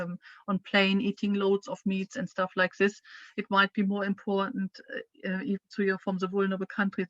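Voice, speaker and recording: A woman with a German accent leads an online training session and talks in a flat, level tone. She hesitates often, filling gaps with "um" and restarting sentences. The sound is a dull, dry lo-fi video call recording. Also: mid-range voice, dry recording. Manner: hesitant, many fillers and restarts. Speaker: climate training lecturer.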